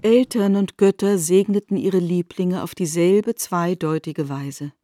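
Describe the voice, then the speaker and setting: Slow, mellow woman narrator, close and dry. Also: fairly low pitch, no room sound. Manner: measured, formal. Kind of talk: scripted literary narration.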